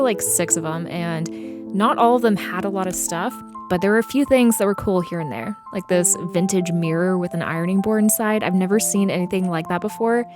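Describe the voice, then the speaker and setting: A young woman from Texas, chatting upbeat in a casual vlog while a little hoarse from a recent cold, full of "like" and "uh". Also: mid-range voice. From Texas, USA. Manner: chatty and upbeat, with many "like" and "uh" fillers. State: hoarse after losing her voice to a cold. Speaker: vlogger, maker. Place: inside her car, then out at estate sales. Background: music playing underneath.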